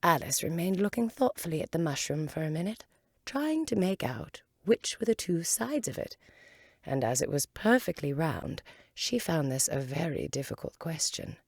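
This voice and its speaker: A woman's voice: low voice, big pitch swings, normal speed, slightly rough, dry recording, clean studio sound. A woman narrating a children's story expressively as a volunteer reader.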